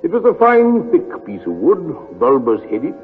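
An actor playing a genial, earnest, easily flustered gentleman sidekick with a British accent, hesitant and bumbling, in an old-time radio drama. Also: fairly high pitch, huge pitch swings, normal speed. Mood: reminiscing. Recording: muffled vintage radio recording, music.

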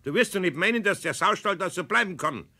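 An actor playing a gruff, down-to-earth older craftsman with a Bavarian accent, scolding in a children's radio play. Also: high-pitched, animated, fast talker, noisy background.

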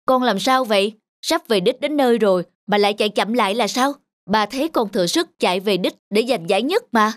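A woman's voice: on the high side, expressive, fairly quick, dry recording, lightly acted. A woman voicing a caring, encouraging mother of a young boy in a cartoon. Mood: puzzled. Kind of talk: animated cartoon dub.

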